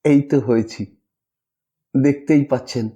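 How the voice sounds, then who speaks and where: A man voicing a gentle, wise, melancholy female wandering ascetic in a story, calm and softly admonishing. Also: mid-range voice, a little slow, voice pushed down, lightly acted. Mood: gentle, instructive.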